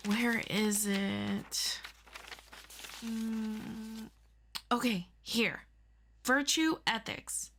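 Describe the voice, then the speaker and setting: A woman playing an earnest, eager superhero best friend in an audio roleplay, tutoring the listener in a halting, casual, self-deprecating way. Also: on the high side, fairly bright tone, heavily acted. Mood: earnest, explaining.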